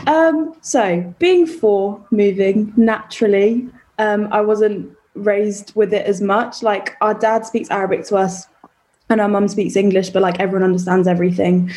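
A woman's voice: mid-range voice, steady tone, moderate pace, darkish tone. A young woman guest in a casual chat, enthusiastic and self-deprecating.

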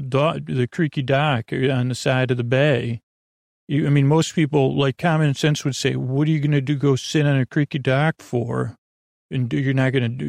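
A man hosting a sleep podcast in a creaky voice, with a meandering, halting monologue full of repeats and tangents. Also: mid-range voice, a little mellow, no echo, studio quality. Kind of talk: rambling bedtime monologue.

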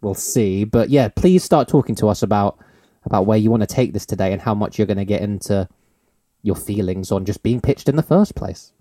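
A man's voice: fairly low pitch, a little fast, dark tone, no echo, studio quality. A man, hosting a podcast in a rambling, teasing, laugh-filled chat. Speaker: podcast host.

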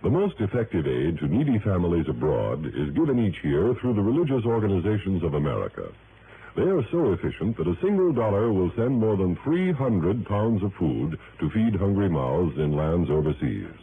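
A man's voice: deep voice, big pitch swings, moderate pace. A man reading a scripted vintage radio public service announcement in a formal, emphatic way. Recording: noisy, tinny vintage radio recording.

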